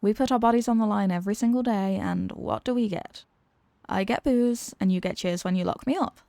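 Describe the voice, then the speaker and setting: A woman's voice: medium pitch, brisk, creaky, dark tone, dry recording, close to an everyday voice. A woman playing an exasperated, sarcastic supervillain who is secretly weary of evil, in a theatrical comedic ASMR roleplay monologue. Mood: resigned griping.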